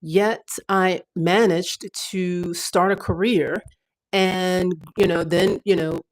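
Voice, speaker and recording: A woman in her fifties hosts a casual livestream, speaking in a slightly low voice. Her talk is chatty and rambling, full of fillers and restarts. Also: steady tone, slow, rough recording. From United States. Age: 50s. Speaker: livestream host.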